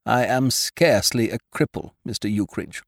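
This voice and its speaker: A haughty society hostess from a comic storybook, played by a male narrator. She is formal, plaintive and fluttering, a fretful hypochondriac with a raised, swooping pitch. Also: sing-song, unhurried, creaky, dry recording, studio quality, heavily acted. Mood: bleak. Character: haughty upper-class lady hostess, fretful, tremulous hypochondriac. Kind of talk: humorous audiobook character dialogue.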